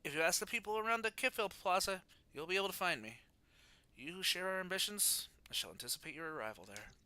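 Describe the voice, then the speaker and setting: A man streaming a video game, talking casually and reading aloud in an animated, playful way. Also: high-pitched, fast. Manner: animated, playful, sound-effect exclamations. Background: faint game music.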